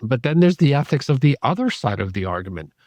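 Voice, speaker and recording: A man speaking as a podcast guest, giving measured, thoughtful answers and repeating points for emphasis. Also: medium pitch, sing-song, darkish tone, dry recording. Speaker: podcast guest, psychedelic therapy clinician. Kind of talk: reflective interview talk.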